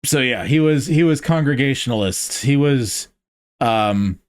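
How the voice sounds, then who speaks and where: Smooth-voiced man in dry podcast chat. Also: medium pitch, a little slow, no room sound. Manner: relaxed, explains history from memory. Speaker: podcast co-host. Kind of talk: casual podcast conversation.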